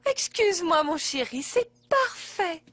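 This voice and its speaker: A woman's voice: extremely high pitch, sing-song, measured pace, fairly bright tone, dry recording, full character voice. A woman voicing a stern, exasperated mother in a French children's cartoon, theatrical and scolding. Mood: apologetic.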